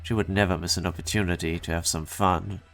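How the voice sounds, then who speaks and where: A man voicing a weary, steadfast soldier in a dramatic game dub, with a somber, measured delivery. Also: sits low, steady tone, fairly bright tone, soft background music. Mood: somber, calm. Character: weary, steadfast male scout, doomed veteran soldier.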